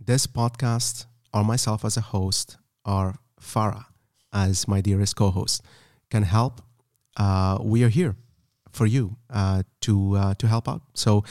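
A man hosting a podcast, talking earnestly with many pauses and fillers. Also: on the low side, darkish tone, clean tone, no room sound, clean studio sound. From Afghanistan. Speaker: podcast host. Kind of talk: casual podcast conversation. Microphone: podcast microphone.

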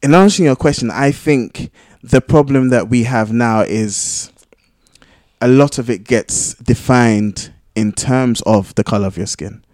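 A man in a casual podcast discussion, arguing his points in a halting way as he thinks them through. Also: mid-range voice, a little mellow, no room sound, clean studio sound. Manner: halting, thinking aloud, argumentative. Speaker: podcast panellist. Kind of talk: casual discussion among friends.

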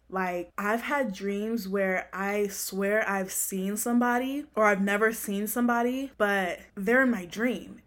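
A young woman hosting a casual podcast, chatty and laid-back, often laughing as she talks. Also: mid-range voice. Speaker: podcast host. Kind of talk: casual chat.

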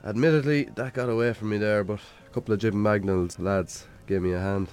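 An actor playing a weary, put-upon Irish bachelor farmer in a naturalistic radio drama, with a dry, sardonic manner. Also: male voice, lowish voice, fairly even intonation, a little fast, a little mellow, smooth, faint music behind, natural voice. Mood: wry, friendly. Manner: wry, sardonic, grudging.

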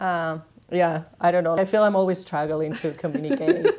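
A Spanish woman with a Spanish accent, a native Spanish speaker, chatting as a guest in a casual interview, self-deprecating and quick to laugh. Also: lowish voice, darkish tone. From Spain. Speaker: artist and researcher guest.

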